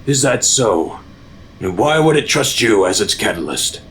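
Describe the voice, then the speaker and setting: An actor playing a stern, skeptical senior military commander, formal and authoritative, in an audio drama. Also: male voice, lowish voice, sing-song, unhurried, fairly bright tone, a little hiss or hum.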